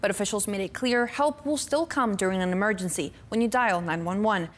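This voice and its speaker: A woman reads scripted television news as a reporter. Her voice sits at a middle pitch, and she delivers the story as narration. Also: fairly quick.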